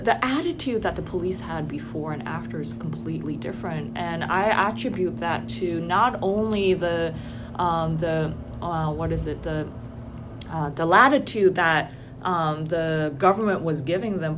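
A woman from Indonesia in a casual academic conversation, speaking thoughtfully with frequent ums and restarts. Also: lowish voice. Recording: noisy background hiss.

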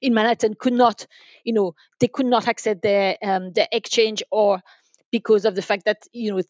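A woman explaining things as an expert guest in a podcast interview, haltingly, often repeating and rephrasing her phrases. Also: medium pitch, fairly even intonation, a little mellow, no echo, muffled recording. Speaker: guest, enterprise sales manager.

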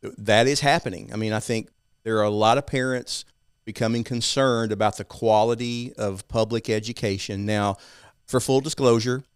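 A man's voice: lowish voice, fairly even intonation, moderate pace, smooth, no echo, clean studio sound. A man from East Texas with an East Texas accent, a guest talking casually in an interview and often checking in with "right?".

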